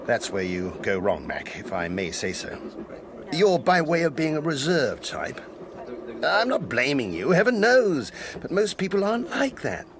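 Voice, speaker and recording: A man's voice: fairly high pitch, huge pitch swings, unhurried, full character voice. A man acting a chatty, nostalgic, familiar older man in a radio drama. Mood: frank, wistful. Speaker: actor in radio play. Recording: noisy train ambience behind.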